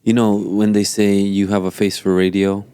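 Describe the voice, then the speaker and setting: A man's voice: fairly low pitch, level tone, moderate pace, mellow, smooth. A man co-hosting a church podcast, throwing in dry, teasing one-liners during casual chat. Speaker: podcast co-host.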